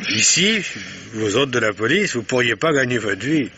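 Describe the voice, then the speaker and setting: A man's voice: medium pitch, very animated, moderate pace, sharp tone. An actor playing a sly, evasive fisherman in a 1950s radio drama, speaking in a folksy, hesitant way. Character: older male oyster fisherman, sly and evasive. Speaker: fisherman Lestona. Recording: old radio recording with hiss.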